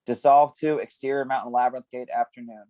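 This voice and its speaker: A man's voice: mid-range voice, steady tone, fast, warm tone, dry recording. A man reading stage directions at a script table read, giving scene headings some emphasis.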